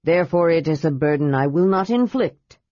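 A woman voicing a grave, wise old male gold dragon who speaks slowly and sternly. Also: sits low, expressive, mellow, no room sound, dull audio. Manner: stern, grave, slow and measured.

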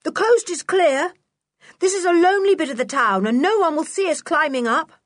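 A woman voicing a bold, bossy, quick and secretive schoolboy in a children's audiobook, with an urgent, exclaiming manner. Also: medium pitch, big pitch swings, darkish tone, breathy edge, no echo, clean studio sound. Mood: eager. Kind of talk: children's audiobook character acting.

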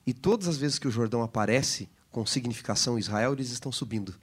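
A man's voice: mid-range voice, quick pace, slightly rough. A man with a Brazilian Portuguese accent, a pastor co-hosting a Bible lesson program, explaining scripture in a teacherly way. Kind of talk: Bible study discussion.

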